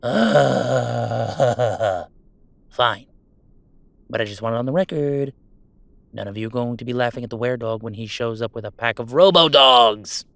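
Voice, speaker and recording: A man's voice: mid-range voice, measured pace, creaky, a bit bright, dry recording. Man acting a playful werewolf guy, close dry sound. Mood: playful, mock-grandiose. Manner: playful mock-grandiose, casual banter with hesitations. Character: goofy young male werewolf streamer, playful, defiant about family. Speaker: game streamer. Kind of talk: intimate roleplay audio drama.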